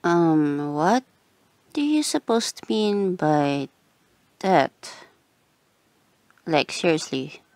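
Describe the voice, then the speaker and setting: A woman's voice: deep voice, expressive, very slow, long pauses, a little mellow, no room sound, heavily acted. A woman voicing a flustered, blunt tsundere childhood friend in an ASMR roleplay, hesitant and often dropping into whispers. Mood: flustered, insistent.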